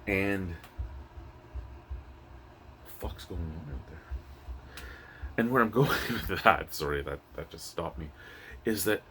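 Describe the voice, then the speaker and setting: A man's voice: sits low, a bit bright. A man from Ontario with a Canadian accent giving a casual, rambling, opinionated beer review. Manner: rambling, opinionated, occasionally profane. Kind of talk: casual unscripted product review. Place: indoor room used for recording reviews. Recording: noisy room with clear background hum.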